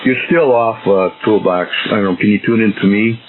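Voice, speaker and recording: Low-voiced man on a staticky CB radio. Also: a little fast. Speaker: CB radio operator helping others tune in. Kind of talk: casual technical radio chat. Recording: tinny, static-filled CB radio link.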